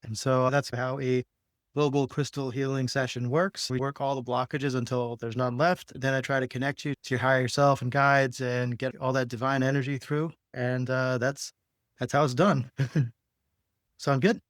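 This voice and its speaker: A man with a very clear, smooth voice, recorded close and dry. He talks in a casual, laid-back way, like a spiritual podcast host guiding someone through a healing session. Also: mid-range voice, level tone, moderate pace, dry recording. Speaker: podcast host and energy healer.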